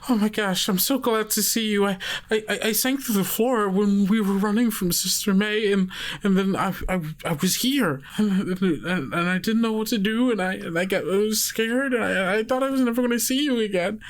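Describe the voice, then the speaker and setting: A man's voice: high-pitched, moderate pace, pitched above the performer's own voice. A man voicing a frightened, pleading girl, an imaginary-friend entity, stammering breathlessly in a tabletop game. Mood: scared, pleading. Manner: breathless, stammering, repeating words.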